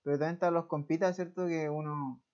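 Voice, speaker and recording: A man's voice: fairly high pitch, steady tone, a little mellow. Jokey Chilean man, dull lo-fi sound. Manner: laughing, joking, drawn-out. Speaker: podcast co-host. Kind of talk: casual chat. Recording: dull lo-fi call audio.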